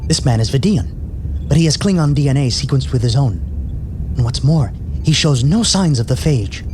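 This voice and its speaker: Guy doing brisk clinical space doctor, radio play style, lots of noise behind him. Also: medium pitch, expressive, natural voice. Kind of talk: fan sci-fi audio drama acting.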